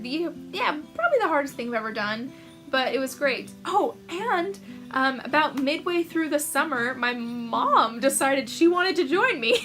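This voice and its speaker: Young woman, American accent, high-pitched, casual storytelling, frequent laughs. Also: pushed and bright. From United States. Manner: chatty, laughs often, frequent "like". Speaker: author vlogger.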